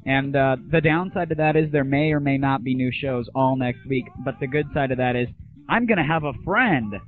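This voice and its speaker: A man's voice: mid-range voice, moderate pace, faint music behind. An American man hosting an online audio show, ranting in a brash, sarcastic, mocking way. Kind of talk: irreverent solo show, ranting commentary. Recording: dry, band-limited lo-fi voice.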